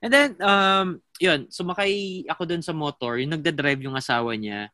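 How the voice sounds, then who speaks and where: A man's voice: on the high side, moderate pace, bright, forceful tone, no room sound, studio quality. A man in his twenties with a Filipino accent, telling a travel story in casual chat. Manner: code-switching, storytelling with fillers.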